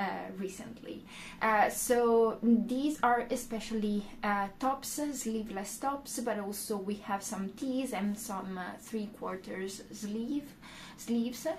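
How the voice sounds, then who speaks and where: A young woman with an Italian accent talking casually to the camera as a knitting YouTuber, unhurried and full of "uh" fillers. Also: medium pitch, a little hiss or hum. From Italy. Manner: unhurried, many "uh" fillers, gestures with hands. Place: small room indoors.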